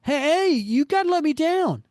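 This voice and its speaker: A cowardly small-time crook whining and pleading in a high, strained voice, as a man puts him on. Every line comes out panicky and desperate. The sound is close and dry. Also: big pitch swings, moderate pace, a little mellow, no room sound.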